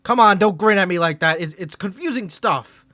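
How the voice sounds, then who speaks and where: A man's voice: high voice, moderate pace, pushed and bright, no room sound. Guy doing a tsundere study rival, teasing and defensive, stammers, dry close sound. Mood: exasperated. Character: flustered tsundere male student rival, young adult. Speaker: ASMR creator. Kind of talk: ASMR roleplay.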